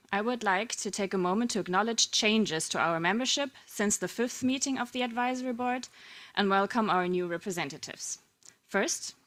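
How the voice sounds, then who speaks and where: A woman co-chairing an international board meeting, speaking in a measured, formal way from prepared remarks. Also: mid-range voice, fairly bright tone.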